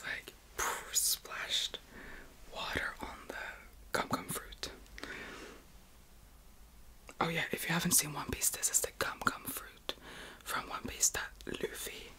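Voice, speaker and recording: Whispering young woman doing ASMR. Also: lowish voice. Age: about 20. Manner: whispers almost throughout; excited, giggly, repeats words softly. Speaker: ASMR video creator and host. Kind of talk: ASMR whispering, casual mukbang chat.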